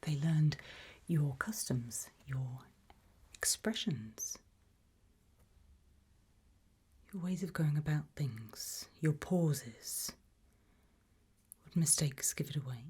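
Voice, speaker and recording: Breathy, whispering British actress as a female investigator. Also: sits low, animated, full character voice. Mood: quiet, probing. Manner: gentle, measured questioning with pauses. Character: calm, probing female investigator questioning an alien abductee. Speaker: ASMR roleplay performer. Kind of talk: ASMR roleplay, whispered and soft-spoken interview.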